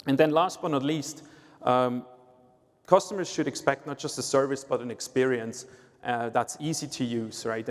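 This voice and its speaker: A man from Switzerland with a Swiss accent, a sales executive explaining things on a conference panel, with frequent "uh" and "right?". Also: medium pitch. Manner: explanatory, uses filler "uh" and "right?". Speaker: sales executive, guest speaker. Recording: long reverb of a hall.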